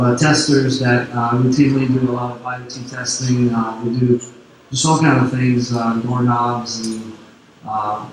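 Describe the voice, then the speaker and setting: A man from Quebec whose first language is French, speaking with a French-Canadian accent as he gives a relaxed technical conference talk full of fillers. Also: medium pitch. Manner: relaxed, filler-heavy explaining. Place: large conference hall on stage. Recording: echoey hall, distant mic, faint noise.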